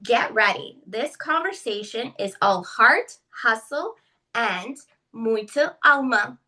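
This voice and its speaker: A bright, slightly high-pitched woman hosts with effusive enthusiasm. Her voice sits a little away from the microphone, carrying a lively, eager lilt. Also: takes it slowly, recorded from a distance. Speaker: podcast host. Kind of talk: upbeat interview hosting.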